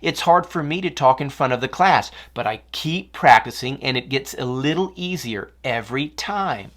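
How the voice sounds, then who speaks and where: A woman voicing a kind, upbeat young schoolgirl in a children's story, speaking with animated encouragement. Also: extremely low pitch, moderate pace, exaggerated voice. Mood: encouraging.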